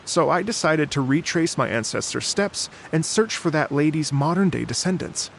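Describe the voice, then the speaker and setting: An actor playing an earnest, tired middle-aged bard in a video game, telling a legend in a measured storytelling manner. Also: male voice, a little high, animated, gravelly, slight background noise. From Fontaine.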